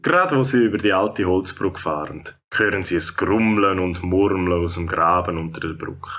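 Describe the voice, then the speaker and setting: A man's voice: low-pitched, moderate pace, a bit bright. A man with a Swiss German accent narrating a scripted children's audio story. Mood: storytelling.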